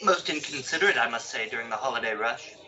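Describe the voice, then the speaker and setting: Peevish, pompous floorwalker male actor, sharp forceful voice of middle pitch, fussy clipped radio-play delivery. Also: lively intonation, quick pace, a little hiss or hum, rough recording. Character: snooty, peevish male department store floorwalker. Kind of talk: old-time radio drama acting.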